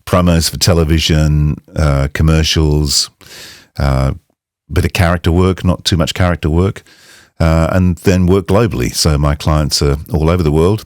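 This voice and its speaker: Male, 60s, voiceover pro with an extremely low voice, plain-spoken and informal, dry close studio sound. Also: fairly even intonation, moderate pace, dry recording. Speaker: voiceover artist and demo coach. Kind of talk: conversational explainer to camera.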